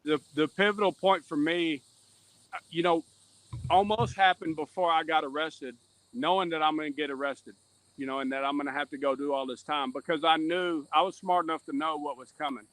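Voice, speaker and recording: A man with a slightly high-pitched voice shares his own story in a podcast conversation. He is earnest and candid, and he often loops back to repeat what he has just said. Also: fairly even intonation, fairly quick, a bit bright, no room sound, poor audio. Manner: earnest, circles back over the same point with repetition. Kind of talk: candid personal testimony in conversation.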